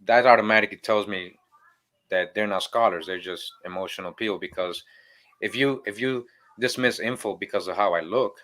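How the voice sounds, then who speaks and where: A man's voice: on the low side, fairly quick, fairly bright tone. A man from Boston, born in Costa Rica, talking casually and emphatically as a guest on a show. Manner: emphatic, conversational, repeats "you know". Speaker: guest teacher. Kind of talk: casual interview talk.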